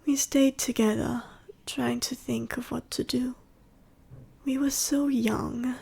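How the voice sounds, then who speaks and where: Casting: woman to play an ancient dying she-dragon for ASMR roleplay; whispery, husky, slow and halting, gentle and sorrowful. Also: a little high, steady tone, exaggerated voice. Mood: somber, reminiscing. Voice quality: breathy, whispery, raspy. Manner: halting, slow, solemn storytelling. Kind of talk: ASMR audio roleplay monologue to the listener.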